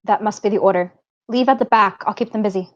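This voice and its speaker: A woman performs a mother in her forties, loving, protective and firm. She reads her part from a script at a table read. The recording is dull and lo-fi, with a medium-pitched voice. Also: quick pace, lightly acted.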